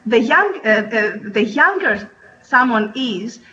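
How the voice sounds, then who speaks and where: A woman's voice: a little high, lively intonation, takes it slowly, bright, forceful tone, a little hiss or hum. A woman teacher speaking in a panel discussion, hesitant with many fillers and restarts. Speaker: English teacher and researcher. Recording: muffled online audio.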